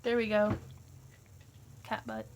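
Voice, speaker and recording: A woman's voice: mid-range voice, sing-song, slow, a little hiss or hum. A woman hosting a casual craft livestream, chatty and laughing often.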